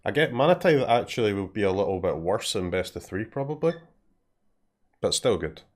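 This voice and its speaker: A man with a Scottish accent streaming a card game, thinking aloud in casual commentary, often dropping to a mutter and clearing his throat. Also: fairly low pitch, very animated, brisk. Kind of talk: casual live commentary while playing.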